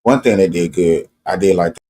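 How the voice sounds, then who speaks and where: A man with a husky voice hosting a live-stream, giving rambling, earnest reaction commentary. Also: sits low, normal speed, mellow. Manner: rambling, earnest, repeats "you know what I'm saying". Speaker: streamer host.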